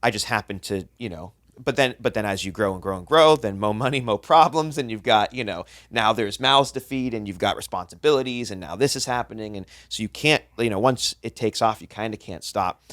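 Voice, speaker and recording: A man's voice: fairly low pitch, brisk, few pauses, fairly bright tone, no echo. Blunt, profane man in his thirties, dry sound. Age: 30s. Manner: blunt, profane, rambling with restarts. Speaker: podcast co-host, chef. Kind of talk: casual podcast chat.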